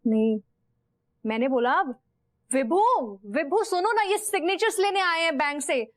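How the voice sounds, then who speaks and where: A woman's voice: sits high, lively intonation, measured pace, no room sound, clean studio sound. An actress playing a polished, insistent modern wife who mixes English words into her speech.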